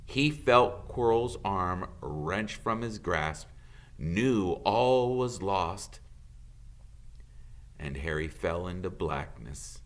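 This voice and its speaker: A man's voice: low voice, animated, drawn-out words. A man reading a storybook aloud as a dramatic narrator. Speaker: audiobook reader.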